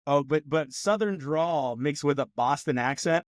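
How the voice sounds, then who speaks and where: A man's voice: fairly high pitch, moderate pace, no echo, clean studio sound. Rambling man on a movie podcast. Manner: rambling, filler-heavy, laughs often. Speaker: podcast co-host. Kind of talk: casual movie review chat.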